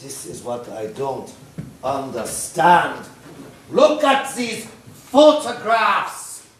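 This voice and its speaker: A man's voice: on the high side, wildly swooping pitch, drawn-out words, a little hiss or hum, full character voice. A man acting a fanatical, menacing Nazi officer in a stage play, with pompous, declamatory, volatile delivery. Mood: agitated. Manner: pompous, declamatory, with bursts of shouting. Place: indoor theatre stage, recorded from a distance. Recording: echoey stage hall, distant mic.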